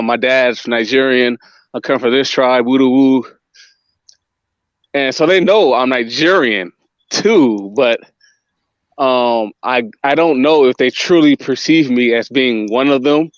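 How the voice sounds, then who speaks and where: A man's voice: mid-range voice, no echo. A man of Nigerian descent raised in the US hosts a casual panel talk. He speaks hesitantly, with many ums and restarts. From United States. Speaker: talk show host. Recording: dull lo-fi dry sound.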